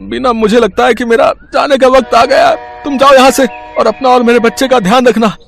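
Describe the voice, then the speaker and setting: A man's voice: very high-pitched, fairly even intonation, a little fast, vocal fry, slight background noise. A man playing a tender, resigned young husband in a moral story, speaking earnestly and solemnly. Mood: grave, resigned. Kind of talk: animated moral-story dialogue.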